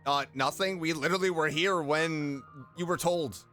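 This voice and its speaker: Casting: male streamer, notably high voice, slow casual reactions, game music clearly underneath. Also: pushed and bright. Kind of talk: casual gameplay commentary.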